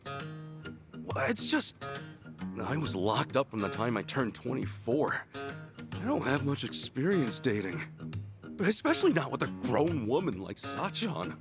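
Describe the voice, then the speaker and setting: An American man playing an excitable, awkward, good-hearted middle-aged ex-con in a video game dub, with music behind him. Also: mid-range voice, a little slow, long pauses. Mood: downcast. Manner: stammering when nervous, bursting into yells when excited. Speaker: lead character in a video game cutscene. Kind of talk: animated game dub acting. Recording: loud music behind dialogue.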